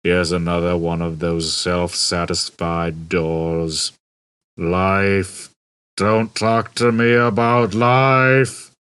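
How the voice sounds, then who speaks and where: A man voicing a depressed, bored robot in an audiobook, droning slowly with gloomy sarcasm. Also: level tone, a bit bright, smooth, no echo, heavily acted. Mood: deep despair. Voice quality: low, droning. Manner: slow, gloomy, sarcastic muttering. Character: hopelessly depressed, bored male humanoid robot. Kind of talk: audiobook character voice.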